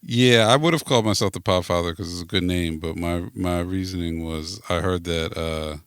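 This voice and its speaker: A man's voice: low voice, moderate pace, a bit bright, clean tone, no room sound, studio quality. A man being interviewed as a podcast guest, chatting casually and thinking aloud as he goes. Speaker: podcast guest, network founder.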